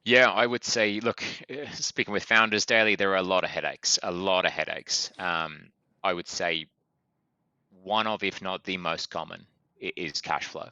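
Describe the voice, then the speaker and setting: A man with a strong Australian accent, a guest on a business podcast, explaining in a brisk way with many fillers. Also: low voice, bright, forceful tone, dry recording, lo-fi sound. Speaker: guest from a funding company. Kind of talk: podcast interview.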